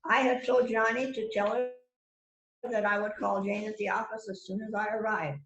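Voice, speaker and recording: A woman's voice: mid-range voice, steady tone, normal speed, lo-fi sound, close to an everyday voice. A woman playing an indignant, gossipy murder suspect in an amateur mystery reading, with theatrical exclamations. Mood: chatty. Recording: echoey online call.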